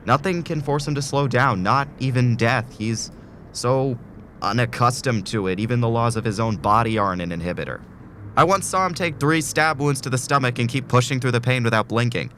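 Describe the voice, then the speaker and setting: A man's voice: medium pitch, fairly quick, a bit bright, a little hiss or hum, close to an everyday voice. A man acting a desperate, tormented patient in a student audio drama, speaking in a weary, urgent, rambling way. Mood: agitated. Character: desperate, tormented man trapped in torture loop.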